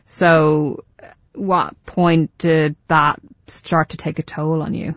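Low-voiced woman radio interviewer. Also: flat delivery, takes it slowly, no room sound, dull audio. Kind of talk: broadcast interview.

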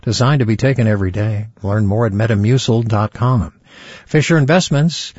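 Husky man reading radio news. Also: on the low side, darkish tone, no echo, highs cut off. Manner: measured, announcer delivery. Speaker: radio newscaster. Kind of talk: scripted news and sponsor reads.

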